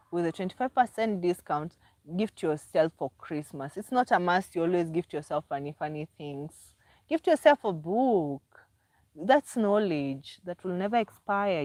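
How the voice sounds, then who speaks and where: A woman's voice: on the low side, darkish tone, no echo. A Kenyan woman hosting a casual livestream, chatty and repetitive as she greets viewers by name and promotes her books. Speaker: livestream host and author.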